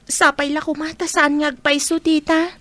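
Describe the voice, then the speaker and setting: A woman's voice: sits high, flat delivery, moderate pace, a bit bright. A woman playing an earnest, concerned young student who pleads sincerely in an amateur audio drama.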